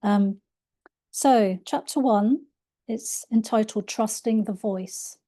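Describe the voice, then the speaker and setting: A woman reading aloud from her own spiritual book in a soft, unhurried way, her voice a little croaky. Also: mid-range voice. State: slightly croaky voice. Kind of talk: slow gentle book reading.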